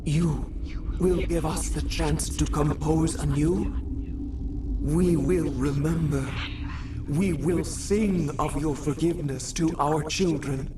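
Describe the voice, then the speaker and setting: An alien insect queen from a video game, speaking through a borrowed body. The voice is low and extremely slow, halting and trance-like. Her phrasing is solemn and poetic, over clear ambient noise. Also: steady tone. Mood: solemn wonder. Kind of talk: video game cutscene acting.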